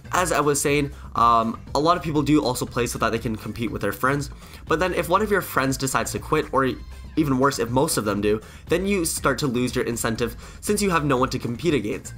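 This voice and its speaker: A man's voice: mid-range voice, sharp tone. A man, a gaming YouTuber with a cold, talks earnestly through his opinions with frequent restarts. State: sick with a cold, voice affected. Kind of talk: scripted-ish commentary to camera.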